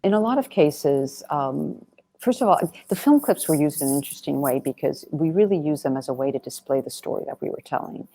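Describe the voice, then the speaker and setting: Female film director in Q&A, low register, conversational, emphatic, wandering phrasing. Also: animated, brisk, warm tone. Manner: rambling, emphatic, with frequent "you know". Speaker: documentary director being interviewed. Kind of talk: conversational Q&A answers.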